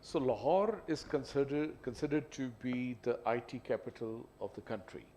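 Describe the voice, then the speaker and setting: A man's voice: medium pitch, animated, dark tone. Pakistani guy on a panel, mid voice, keeps saying you know, bit of noise behind. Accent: Pakistani English. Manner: halting, with frequent "you know". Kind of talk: panel discussion. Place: event hall. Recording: faint background noise.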